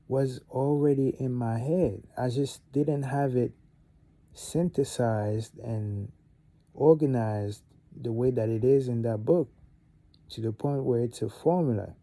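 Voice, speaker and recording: Gabonese guy, french first language, rambly vlog talk, gravelly low tone, dead dry room. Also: no echo. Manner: rambling, many fillers and restarts, animated on key points. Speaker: vlogger. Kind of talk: casual motivational talk to camera.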